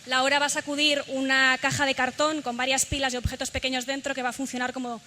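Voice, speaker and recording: Woman, workshop leader, bright tense tone, fairly high voice, reverberant hall, faint ambience. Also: little pitch movement, fast talker, a little hiss or hum. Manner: instructive, guiding a group. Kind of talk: leading a sound workshop. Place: large hall.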